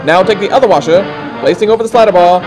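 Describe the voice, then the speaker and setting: A man narrating a scripted how-to film, giving step-by-step instructions in a deliberate, folksy way. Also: high-pitched. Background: instrumental music.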